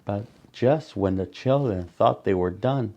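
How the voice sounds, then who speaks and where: A man reading a picture book aloud to children as a storyteller, with dramatic pauses and asides to his young listeners. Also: fairly low pitch, dark tone, lo-fi sound.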